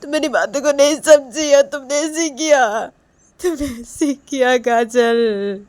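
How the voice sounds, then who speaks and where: A woman's voice: mid-range voice, normal speed, dry recording, pitched above the performer's own voice, close to an everyday voice. A woman voicing an upset young woman who scolds out of care, insistently repeating her phrases in an improvised dramatic story. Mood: hurt, reproachful.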